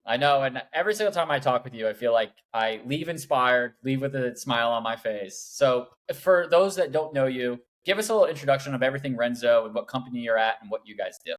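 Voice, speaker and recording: A man in a mid-range voice who hosts a business podcast. He asks his guest friendly, encouraging questions in a relaxed, conversational way. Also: mid-range voice, quick pace, few pauses. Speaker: podcast host. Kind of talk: casual interview chat.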